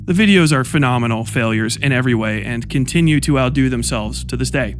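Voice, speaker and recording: A man's voice: medium pitch, fairly quick. A man narrating scripted commentary as a streamer and video essayist. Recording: voice over clear background music. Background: music.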